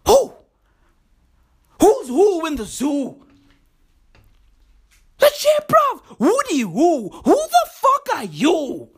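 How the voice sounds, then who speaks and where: A woman's voice: extremely high pitch, huge pitch swings, drawn-out words, long pauses, exaggerated voice. A woman doing a brash slangy bro nearing thirty. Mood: hyped. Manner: brash, swears often, repeats slang like bruv and legit. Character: brash young man nearing thirty, cocky slang-talker. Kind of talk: comic character monologue.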